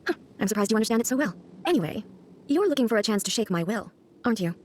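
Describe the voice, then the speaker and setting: An imperious, solemn goddess from a video game, played by a woman. Her voice sits on the high side and her formal, cold lines come at a rapid clip. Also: animated, darkish tone, slight background noise, lightly acted. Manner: formal, commanding, measured phrasing. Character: imperious female thunder deity, cold, solemn, aloof. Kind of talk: video game cutscene acting.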